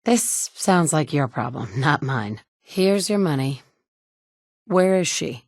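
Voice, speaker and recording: A woman's voice: low voice, animated, slow, dry recording, heavily acted. A woman voicing a player character in a video game, delivering short, dry, sardonic lines as a tough, wisecracking adventurer. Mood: cool.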